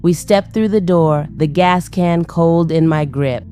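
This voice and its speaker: A woman's voice: fairly low pitch, unhurried, mellow, soft background music, lightly acted. An actress playing a captive woman, narrating an audio drama in a subdued, tense way. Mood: subdued dread. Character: Ashley, captive woman forced along at gunpoint.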